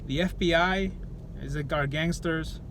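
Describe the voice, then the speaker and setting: A man's voice: fairly high pitch, a little slow, background noise. Blunt, profane man ranting to camera. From El Salvador. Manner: blunt, profane, with frequent restarts. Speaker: YouTuber. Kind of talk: opinionated vlog monologue.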